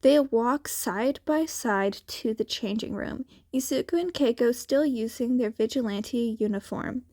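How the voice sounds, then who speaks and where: A woman narrates a story from a script at a slow pace. Her voice sits a little high, and the sound is dry, with very little room around it. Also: darkish tone, dry recording. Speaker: podfic reader. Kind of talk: scripted fan fiction narration.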